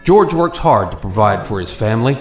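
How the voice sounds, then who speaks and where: A man's voice: on the low side, very animated, brisk, faint music behind. An American man working as a radio host and narrator, presenting and reading a scripted story in an echoey room.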